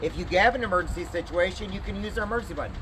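A young man gives an informal sales pitch to the camera outdoors in a parking lot, checking in with "okay?" as he talks. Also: animated, rapid-fire. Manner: conversational, frequent "okay?" check-ins. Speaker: legal plan presenter. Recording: outdoor traffic noise.

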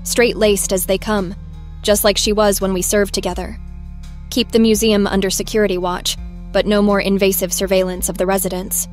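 A woman playing a formal, commanding intelligence agency chief in an audio drama, speaking in a measured way. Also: mid-range voice, flat delivery, soft background music, close to an everyday voice. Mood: businesslike. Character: authoritative female intelligence agency chief, calm and persuasive. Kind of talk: scripted audio drama acting.

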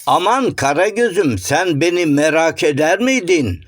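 Eager, polite cartoon gentleman, swooping intonation, coaxing, dry close sound. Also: fairly high pitch, expressive, a little slow, no echo. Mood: fawning, surprised. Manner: courteous, coaxing, sing-song explaining. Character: polite, chatty, eager, well-mannered male shadow-puppet gentleman. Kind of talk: children's cartoon voice acting.

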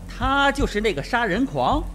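Man playing a lively, flippant army officer. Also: very high-pitched, moderate pace, slight background noise, heavily acted. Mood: sardonic. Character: flippant army captain with coarse, sardonic humour. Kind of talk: radio drama acting.

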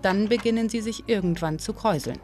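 A woman's voice: mid-range voice, fairly quick, a little mellow. Woman narrating a documentary over music. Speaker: documentary presenter.

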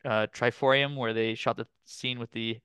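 A man from Canada in his thirties giving a relaxed, chatty academic presentation over a video call, with frequent fillers. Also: mid-range voice, normal speed, smooth, no room sound. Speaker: guest lecturer. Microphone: computer mic on video call.

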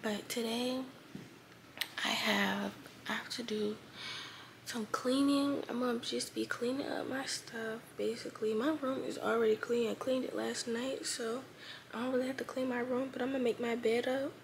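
A teenage girl in her bedroom, talking casually to her phone in a sleepy, raspy voice as if she just woke up. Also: on the high side, steady tone, normal speed, a little hiss or hum. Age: teens. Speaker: vlogger. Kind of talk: casual morning vlog talk. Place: bedroom, indoors. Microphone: phone held close at arm's length.

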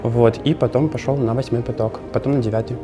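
A man who works as a tattoo artist answers hesitantly and fills his pauses. His voice sits at a middle pitch. Clear music runs behind him. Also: fairly even intonation. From Russia. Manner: hesitant, filler-heavy. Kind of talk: interview answer.

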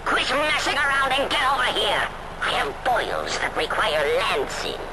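A man's voice: extremely high pitch, sing-song, measured pace, heavy background noise, exaggerated voice. A man voicing a small, pompous alien emperor in a video game, grandiose, theatrical and gleefully cruel. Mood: irritated, imperious. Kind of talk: video game cutscene villain acting.

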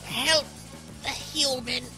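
A woman's voice: high-pitched, fairly even intonation, slow pace, over music, poor audio. A woman voicing a brave, boastful male skeleton in a cartoon. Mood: brave, strained. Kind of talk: animated fan dub acting.

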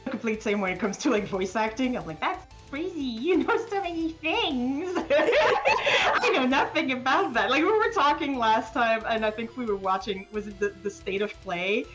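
A woman's voice: a little high, lively intonation, normal speed, hardly any pauses, over music. A woman chatting casually as a guest, with a giggly, self-deprecating and wry way of talking. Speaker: game developer and animator, guest. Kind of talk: casual conversational discussion.

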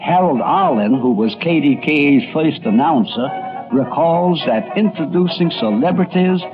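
A man's voice: on the high side, lively intonation, normal speed, over music. A man delivering scripted narration for a radio documentary. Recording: dull vintage broadcast audio.

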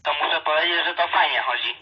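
A man's voice: fairly high pitch, fast. A man chatting casually and a bit hesitantly as an amateur radio operator, heard through a two-way radio. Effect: two-way radio transmission. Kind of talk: casual ham radio contact. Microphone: phone recording a handheld radio's speaker. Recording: dull radio speaker recorded by phone.

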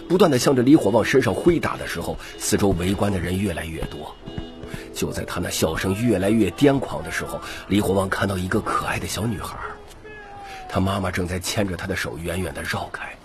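一名男性有声书播讲者，以戏剧化的方式照稿讲述故事。. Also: male voice, low voice. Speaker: audiobook narrator. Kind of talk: scripted dramatic story narration.

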